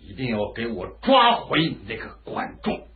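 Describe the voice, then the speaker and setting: An actor playing a vengeful, imperious feudal lord of ancient China in a commanding, theatrical radio drama style. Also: male voice, medium pitch, huge pitch swings, moderate pace. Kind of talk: Chinese historical radio drama acting. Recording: muffled, phone-like lo-fi radio drama audio.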